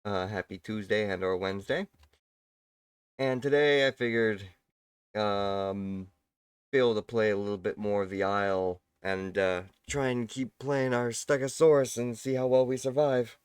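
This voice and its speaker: A man hosting a live game stream while sick, a little raspy, talking casually and courteously with his viewers and chuckling often. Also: fairly low pitch, fairly even intonation, normal speed, no echo, clean studio sound. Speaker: livestream host. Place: at home.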